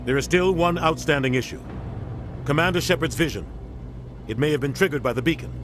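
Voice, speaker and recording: An actor playing a middle-aged veteran military captain in a video game, earnest, weighty and plain-spoken. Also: male voice, on the high side, a little fast, close to an everyday voice. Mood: urgent, grave. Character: grave veteran military captain, male, protective and embittered. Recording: faint music behind the voice.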